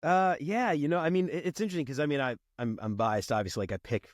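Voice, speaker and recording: A man's voice: a little high, quick pace, dry recording, clean studio sound. Congested man, chatty. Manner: relaxed, rambling, frequent fillers and laughs. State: congested from a cold. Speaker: podcast guest, podcast host himself. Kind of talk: casual conversation.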